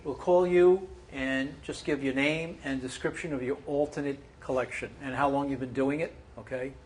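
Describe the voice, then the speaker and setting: A man's voice: mid-range voice, fairly even intonation, moderate pace, a little hiss or hum. A man organizing a club meeting, giving members casual, directive instructions with some fillers.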